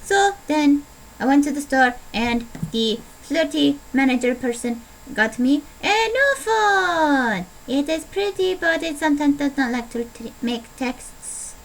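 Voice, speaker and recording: A woman's voice: high voice, lively intonation, measured pace, rough recording. A young adult woman vlogging in a put-on Italian accent, rambling playfully with a self-deprecating manner. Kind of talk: casual rambling video diary.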